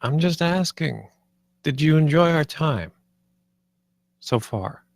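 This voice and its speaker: A man's voice: fairly high pitch, expressive, slow pace, gravelly, no echo, full character voice. A man reading the part of a downcast, subdued creative developer at a screenplay table read.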